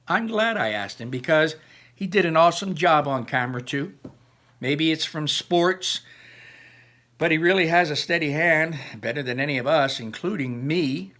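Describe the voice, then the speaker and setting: A man's voice: a little high, lively intonation, normal speed, pushed and bright, pitched above the performer's own voice. A man voicing an earnest, defiant middle-school boy from a storybook, pleading his case insistently.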